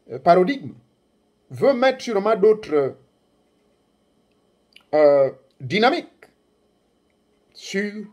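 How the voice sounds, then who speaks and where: A man's voice: a little high, darkish tone, slightly rough. A man whose first language is Ngomienne, speaking French in an emphatic, declamatory political address to young listeners. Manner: emphatic, declamatory, rising bursts on key words. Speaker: commentator addressing African youth. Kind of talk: impassioned political address to camera.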